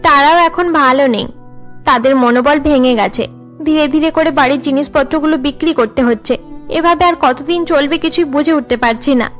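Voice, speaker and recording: A woman plays a troubled young college student in a cartoon drama. The voice is high, earnest and downcast, with music behind it. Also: brisk, close to an everyday voice.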